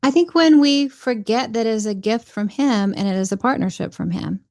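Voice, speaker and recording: A woman's voice: a little high, a little fast, darkish tone, no room sound. A middle-aged woman speaking as a podcast guest, talking in an earnest, reflective way about faith and leadership. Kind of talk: conversational interview.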